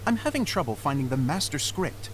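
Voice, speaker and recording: Dreamy game stage director, kinda high, lively, a bit theatrical, music behind. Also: male voice, fairly quick, a little hiss or hum. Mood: earnest. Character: earnest adult male theater director, dreamy. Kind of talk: game voice acting.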